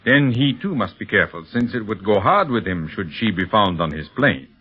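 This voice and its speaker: An actor playing a cold, imperious criminal mastermind in a 1930s radio serial, speaking formally and deliberately. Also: male voice, lowish voice, lively intonation, breathy edge. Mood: scheming, commanding. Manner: deliberate, commanding, formal diction. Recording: dull 1930s radio recording.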